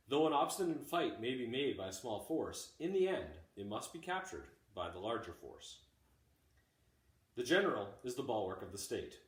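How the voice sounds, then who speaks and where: A man reading a classic text aloud like a teacher, in measured, deliberate phrases. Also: fairly low pitch. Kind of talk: audiobook-style read-aloud of a classic text. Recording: roomy, echoey home recording.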